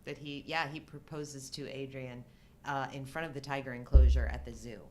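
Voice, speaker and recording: A woman's voice: rumbling low, steady tone, moderate pace, a little mellow. A middle-aged woman co-hosting a live show, chatting casually about films. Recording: faint background noise.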